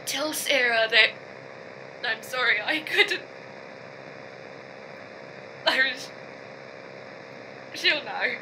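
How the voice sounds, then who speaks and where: A woman's voice: on the high side, expressive, bright, forceful tone, heavily acted, low-quality recording. A woman playing a frightened, grieving astronaut engineer in a science fiction audio drama, short of breath and speaking in broken sentences. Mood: weary, shaken. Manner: halting, broken sentences with repeated words. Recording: faint hum and noise behind.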